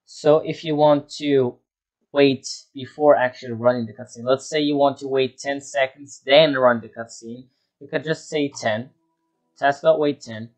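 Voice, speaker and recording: A man's voice: mid-range voice, steady tone, a little slow. A man giving an unscripted screen-share tutorial, talking through each step as he works and often repeating himself. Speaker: tutorial presenter.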